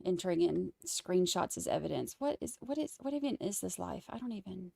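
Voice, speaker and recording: A woman's voice: fairly low pitch, big pitch swings, fairly quick, creaky, a little mellow. A woman with a Boston accent hosting a live trial commentary stream, chatting wryly and reading out viewer comments. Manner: chatty, wry, rambling asides.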